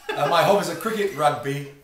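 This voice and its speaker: A man's voice: on the high side, a little fast. A man in his late fifties giving plain, matter-of-fact answers as a quiz contestant. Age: 50s. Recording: echoey room, mic far off.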